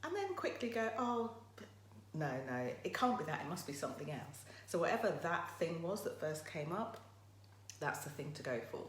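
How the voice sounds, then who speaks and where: A woman with a croaky voice hosting a casual live motivational talk, chatting directly to her viewers. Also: fairly low pitch, big pitch swings, normal speed. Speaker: motivational livestream host. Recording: roomy echo, faint background noise.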